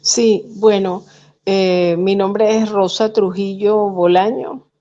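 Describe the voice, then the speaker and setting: Venezuelan woman guest on a video call, thoughtful, slow and low, audio a bit muffled. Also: steady tone, mellow. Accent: Venezuelan Spanish. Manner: measured, thoughtful, with hesitant fillers. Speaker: guest poet. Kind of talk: remote interview, reflective storytelling.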